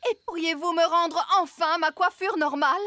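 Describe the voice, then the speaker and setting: A woman's voice: extremely high pitch, steady tone, moderate pace, noisy background. A woman voicing a prim, courteous female fairy in a French cartoon dub. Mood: polite.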